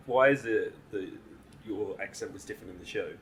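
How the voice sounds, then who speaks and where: A man's voice: fairly low pitch, a little fast, fairly bright tone, breathy edge. A man interviewing a guest, upbeat and reading out fan questions.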